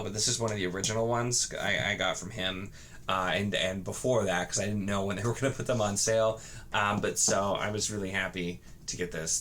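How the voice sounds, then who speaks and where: A man with a fairly low voice talks casually about books, as if to his viewers. He rambles and often restarts his sentences, halting and correcting himself as he goes. There is some light background noise on the recording. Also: fairly bright tone. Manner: rambling, halting, self-correcting with frequent false starts. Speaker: book reviewer vlogger.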